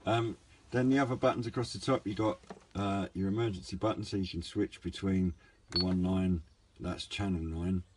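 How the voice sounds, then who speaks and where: A man with a low voice talks through a hobby product review without a script. His delivery is hesitant and low-key, wandering a little as he goes. There is clear background noise in the recording, picked up on a handheld camera. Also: fairly even intonation, moderate pace. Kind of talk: casual, unscripted product review.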